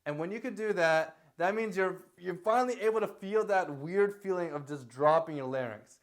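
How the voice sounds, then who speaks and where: A young man coaching singers with a fairly high, light speaking voice. He rambles casually, repeating himself and checking in with "okay?" as he explains. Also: normal speed. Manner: loose, repetitive talk with many fillers and "okay?" checks; sings short lines to demonstrate. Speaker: singing teacher. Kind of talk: casual vocal lesson.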